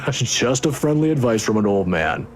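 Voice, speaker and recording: A woman voicing a kindly old male professor, courteous and gently teasing, for a visual novel. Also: rumbling low, expressive, a little slow, a little hiss or hum, voice pushed down. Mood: gentle, advising.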